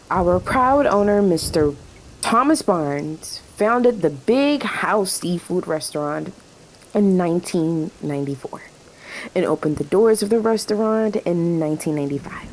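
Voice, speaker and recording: A woman's voice: fairly low pitch, lively intonation, a little slow, rough recording. A woman playing a weary, sarcastic diner waitress in an audio drama, with a dry delivery. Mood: dry, formal.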